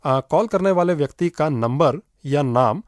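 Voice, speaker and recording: A man's voice: a little high, expressive, unhurried, no echo, studio quality. A man co-hosting a radio program, explaining how a phone feature works in a friendly way. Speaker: radio show co-host. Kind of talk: scripted radio demonstration.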